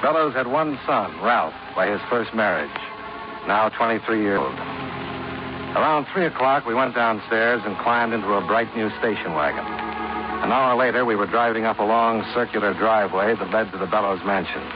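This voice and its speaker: An actor playing a tough, unflappable insurance investigator, terse and deadpan, in 1950s radio drama style. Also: male voice, lowish voice, normal speed, over music, close to an everyday voice. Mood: dry, wry. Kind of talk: 1950s radio drama acting with first-person narration. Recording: noisy muffled 1950s radio recording.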